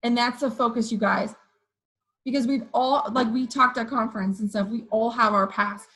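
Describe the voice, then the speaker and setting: A woman with a hoarse voice giving an energetic motivational pep talk to her sales team, often checking in with "okay" and "you guys". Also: fairly high pitch, moderate pace, low-quality recording. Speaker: direct sales team leader.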